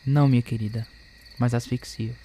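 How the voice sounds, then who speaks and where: An actor playing a stiffly polite, wounded young husband in a Brazilian radio soap opera, speaking formally and tersely. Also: male voice, mid-range voice, steady tone, a little fast, warm tone, a little hiss or hum, close to an everyday voice. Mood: curt, polite. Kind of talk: radio soap opera acting of a literary classic.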